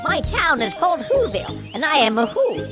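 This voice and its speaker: An earnest, minuscule mayor from a children's story. The voice is extremely high and piping, with lots of rise and fall. It is polite and small, with music underneath. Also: big pitch swings, a little fast. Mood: proud, friendly. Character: tiny, earnest, grateful mayor of a speck-sized town. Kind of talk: children's audio drama. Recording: music behind the voice.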